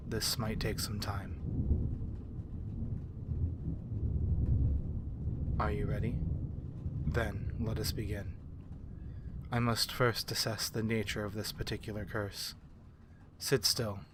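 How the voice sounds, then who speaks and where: A man voicing a courteous, learned vampire in an ASMR fantasy roleplay, speaking formally and with measured, archaic phrasing. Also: fairly low pitch, little pitch movement, heavy background noise, lightly acted. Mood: grave, focused. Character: courteous, learned, human-friendly male vampire curse-breaker.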